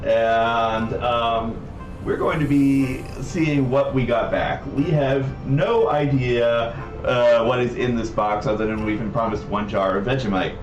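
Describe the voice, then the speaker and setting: A man hosting a casual livestream, talking in a rambling, halting way. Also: medium pitch, lo-fi sound. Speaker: livestream host. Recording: roomy echo, distant mic, background music.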